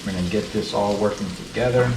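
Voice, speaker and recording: Low-voiced man chatting over a sizzling pan. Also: fast talker, noisy background. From United States. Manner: relaxed, chatty, fond of saying beautiful. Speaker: cooking channel host. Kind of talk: casual cooking tutorial. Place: kitchen with food frying on the stove. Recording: roomy sound, mic set at a distance, sizzling pan.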